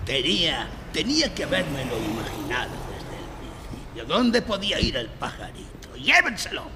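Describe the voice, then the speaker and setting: An actor playing a sarcastic, bullying police lieutenant in a live audio drama, full of threat. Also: male voice, fairly high pitch, wildly swooping pitch, normal speed. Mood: sneering. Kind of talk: audio drama acting before a live audience. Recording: echoey live hall with faint noise.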